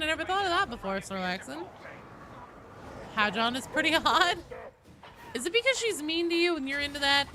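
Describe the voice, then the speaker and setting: A woman's voice: on the low side, background noise. A woman chatting casually and expressively as she hosts a gaming livestream, reading out and answering her viewers. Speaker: live streamer, host.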